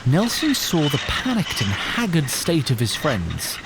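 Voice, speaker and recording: A man's voice: a little high, huge pitch swings, unhurried, heavy background noise. A man narrating an audio drama in scripted, literary, slightly wry storybook style.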